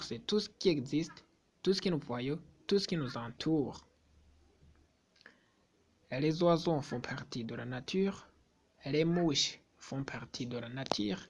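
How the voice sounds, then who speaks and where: A man whose first language is not French, speaking to his subscribers in a vlog in a slow, deliberate way, often repeating himself and hesitating. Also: a little high. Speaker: YouTuber.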